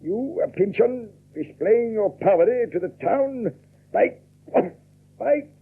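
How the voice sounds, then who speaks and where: An actor playing a pompous, oily, hypocritical gentleman in a radio drama, theatrical, with menace underneath. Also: sits high, lively intonation, takes it slowly, vocal fry, husky, heavily acted. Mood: unctuous. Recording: dull, lo-fi old radio-drama sound.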